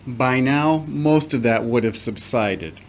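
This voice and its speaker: Patient middle-aged priest played by a man, audio drama, fatherly and formal, average pitch, some background noise. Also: takes it slowly. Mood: reassuring. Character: returning priest, patient, paternal, earnest, formerly accused.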